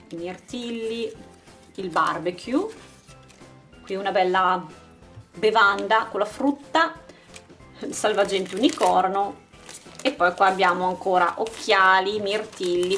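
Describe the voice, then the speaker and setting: Female craft vlog host, medium pitch, informal item-by-item unboxing narration, music underneath. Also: slow, a bit bright. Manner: informal, showing items one by one. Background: background music.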